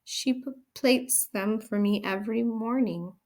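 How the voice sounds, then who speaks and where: A woman's voice: a little high, unhurried, darkish tone, voice pushed up. A woman voicing a timid, frightened ten-year-old girl in a storybook, stuttering over her words.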